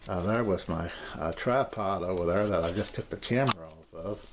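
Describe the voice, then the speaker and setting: A man's voice: low voice, normal speed. An older man giving a casual, unscripted tour of his radio room, rambling and hesitant as he repeats himself. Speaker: amateur radio hobbyist giving a shack tour. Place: small home radio room, indoors. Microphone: handheld camera's built-in mic. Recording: handheld camcorder with clear background noise.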